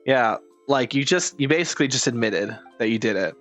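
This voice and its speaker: A man's voice: mid-range voice, lively intonation, fairly quick, fairly bright tone, soft background music. A man giving casual gameplay commentary as a streamer, slangy and often repeating his phrases. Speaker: game streamer.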